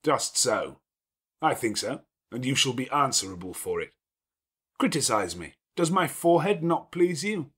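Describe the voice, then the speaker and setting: A man voicing a gruff, commanding, teasing middle-aged gentleman with elaborate, sardonic phrasing in an audiobook. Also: mid-range voice, very animated, moderate pace, voice pushed up. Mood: sardonic, challenging. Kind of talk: dramatic audiobook character dialogue.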